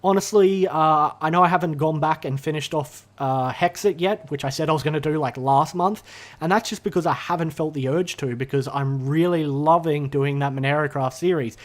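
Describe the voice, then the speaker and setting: A man vlogging from his room, rambling casually to the camera with lots of fillers and self-corrections, his voice a little hoarse from being sick. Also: a little high, moderate pace. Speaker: gaming YouTuber. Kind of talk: unscripted casual vlog ramble. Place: his own room, indoors. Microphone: talking to a camera.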